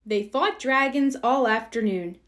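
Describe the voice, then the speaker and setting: A woman narrating a children's storybook aloud in an expressive read-aloud style. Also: on the high side, unhurried. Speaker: storybook reader.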